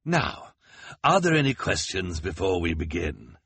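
A man voicing a vain, scheming male villain who talks like a theatrical showman, loud and gloating. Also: sits low, sing-song, measured pace, gravelly, husky, dry recording, exaggerated voice. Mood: smug.

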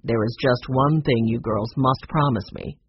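A storybook narrator voicing a kindly, dignified elderly grandfather, speaking in a slow, measured, gentle way. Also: mid-range voice, husky, highs cut off, voice pushed down.

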